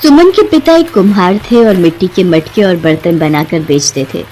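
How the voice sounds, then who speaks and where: A woman's voice: on the low side, lively intonation, normal speed, dark tone, a little hiss or hum. A woman narrator reading a scripted moral story.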